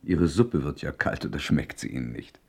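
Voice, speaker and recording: Worldly older-brother type gentleman, very low raspy voice, gently scolding, radio drama. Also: expressive, moderate pace, full character voice. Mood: concerned. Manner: admonishing, urbane. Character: worldly, admonishing gentleman friend at dinner. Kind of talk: German radio play dialogue.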